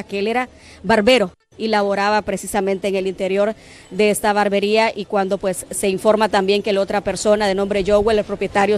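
A woman in her thirties with a Honduran accent, giving a somber live field report outdoors into a handheld mic, with frequent fillers. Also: medium pitch. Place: outdoors on a street by a barbershop. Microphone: handheld microphone.

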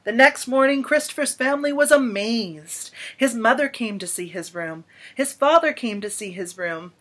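Higher-pitched woman reading a picture book expressively. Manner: expressive, dramatic storytelling with emphatic pauses. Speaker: storybook reader. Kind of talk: children's picture book read-aloud. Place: small indoor room at home.

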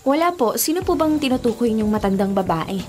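Casting: actress as high-voiced young female lead, worried yet determined, earnest radio drama over clear music. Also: lively intonation, fairly quick, darkish tone, lightly acted. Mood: puzzled. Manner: earnest, questioning. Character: anxious, determined young woman seeking her mother. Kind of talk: Filipino radio drama acting. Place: small radio studio. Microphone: studio condenser microphone. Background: dramatic music bed.

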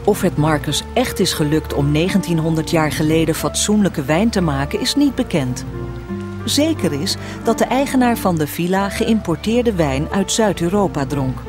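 A woman's voice: lowish voice, expressive, normal speed. A woman reading scripted documentary narration as the narrator of a history piece. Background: music.

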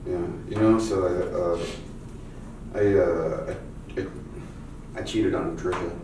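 An insecure, doubting man with a rather low voice, stammering and swearing his way along, acted by a man at a table read. The sound is very echoey, picked up from far across the room. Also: fairly even intonation, takes it slowly, background noise. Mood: sheepish, regretful. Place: meeting room around a long table, indoors. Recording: very echoey room, distant mic.

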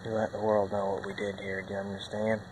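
Brief: man playing a dying wounded soldier, low breathy whisper, heavy noise behind. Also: monotone, quick pace, close to an everyday voice. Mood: fading. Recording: loud background noise.

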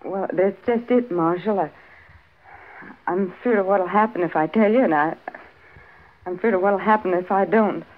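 A woman's voice: mid-range voice, a little hiss or hum, lightly acted. An actress playing a frightened, hesitant frontier mother with a rural American accent in a 1950s radio drama. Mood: fearful. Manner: halting, anxious. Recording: tinny, phone-like vintage radio recording.

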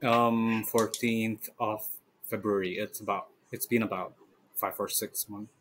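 A young man from Indonesia speaking slowly and hesitantly on a video call, with laughs in his answers. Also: lowish voice, fairly even intonation, a bit bright, a little hiss or hum. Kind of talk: casual chat over video call. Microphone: headset on video call.

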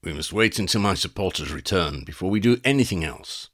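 A man voicing a cautious, calculating male dark wizard in an audiobook reading. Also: low-pitched, animated, brisk. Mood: wary. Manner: wary, calculating.

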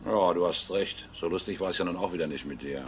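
An actor playing a menacing, sneering crook who mocks and threatens in a crime radio drama. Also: male voice, sits low, fast, slight background noise, close to an everyday voice. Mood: mocking. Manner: mocking, threatening. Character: menacing, sneering male crook bullying his former lover.

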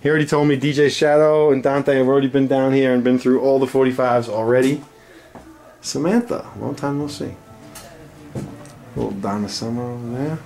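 A man casually narrating a handheld walking tour of a big record store, talking excitedly to his viewers. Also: medium pitch, brisk, vocal fry. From New York. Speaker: vlogger touring record stores. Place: large indoor record store. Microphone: handheld camera mic. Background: store music playing.

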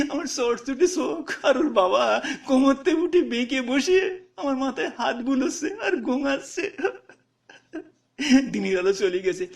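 A man voicing a poor, grieving village mother in a tearful, halting storytelling performance with a rural Bengali accent. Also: very high voice, gravelly, pitched above the performer's own voice, heavily acted. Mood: anguished. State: crying.

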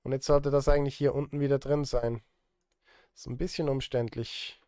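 A man's voice: mid-range voice, level tone, moderate pace, mellow, smooth, no room sound. A man in his thirties chatting casually on a live stream while he works, rambling and muttering to himself in a self-deprecating way. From Switzerland. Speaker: live streamer and film composer. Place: indoor desk at a streaming setup.

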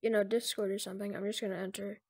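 A speaker giving informal, improvised step-by-step instructions in a casual screen-recorded software tutorial. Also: mid-range voice, flat delivery, very fast, no room sound, studio quality. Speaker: tutorial presenter.

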